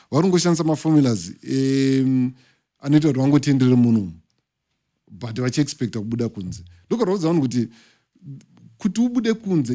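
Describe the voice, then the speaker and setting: A middle-aged Zimbabwean man, a podcast guest, speaking emphatically about the music industry into a studio boom mic. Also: warm tone. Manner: emphatic, lecturing, talks with his hands. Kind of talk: podcast interview, mixing English and Shona. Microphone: studio mic on boom arm.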